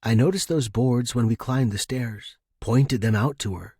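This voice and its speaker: Husky guy hosting a story podcast, really dry close sound, bit of music under him. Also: mid-range voice, moderate pace, dark tone, dry recording. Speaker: podcast host and story reader. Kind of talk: casual podcast intro and dramatic story narration.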